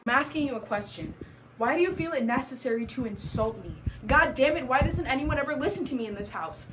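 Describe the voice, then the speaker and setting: A woman's voice: sits high, lively intonation, fairly quick, voice pushed up. A young woman playing a bitter, wounded, neglected daughter in an emphatic, aggrieved stage soliloquy. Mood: exasperated. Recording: clearly noisy amateur recording.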